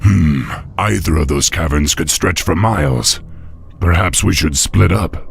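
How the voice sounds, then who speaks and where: A man acting a young orc war chief in a video game cinematic, resolute and thoughtful, with measured, deliberate delivery. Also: low-pitched, creaky, slight background noise.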